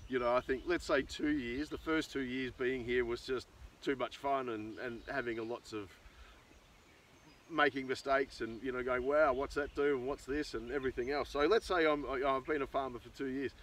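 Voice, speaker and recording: A man's voice: mid-range voice, steady tone, normal speed, fairly bright tone. A middle-aged Australian man talking casually and unscripted, thinking aloud as a farmer making a vlog in an open field. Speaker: farmer and video host. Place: outdoors in an open rural pasture. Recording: distant outdoor pickup with ambient noise.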